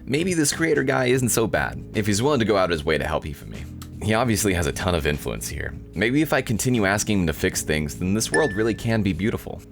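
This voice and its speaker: A man's voice: on the low side, big pitch swings, quick pace, vocal fry, soft background music. A man voicing a sardonic, disappointed young male protagonist, narrating a visual novel in a dry, incredulous way.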